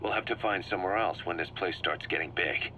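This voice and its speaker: A man's voice: low voice, brisk, sharp tone. A man playing a driven, shrewd mercenary commander in a video game, briefing his boss in an emphatic, assured way. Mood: assured, persuasive. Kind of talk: scripted video game dialogue, explaining plans. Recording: muffled cassette-like sound with background hum.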